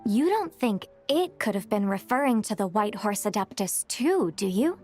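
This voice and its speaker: A woman playing a gentle, polite young woman in a video game, with a courteous manner. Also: mid-range voice, very animated, normal speed. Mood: warm, thoughtful. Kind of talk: video game character acting. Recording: game music behind the voice.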